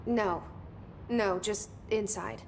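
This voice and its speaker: A woman's voice: mid-range voice, slow pace, slight background noise. A woman playing a guarded, inquisitive newcomer heroine in a video game, speaking in a terse, wry way. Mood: guarded, curious. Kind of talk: video game dialogue acting.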